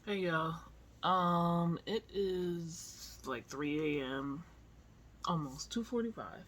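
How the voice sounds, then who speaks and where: A middle-aged vlogger chats in an unhurried, candid way, as in a personal video diary. The pitch sits in a middle range and the wording is loose and informal. Clear ambient noise runs behind the voice. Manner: candid, informal, swears casually. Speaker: book vlogger. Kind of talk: casual chatty video diary.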